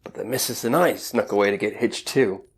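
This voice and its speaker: An actor playing a kindly, discreet married innkeeper in an audio play. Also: male voice, fairly low pitch, brisk, noisy background, close to an everyday voice. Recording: amateur home recording on phone or laptop.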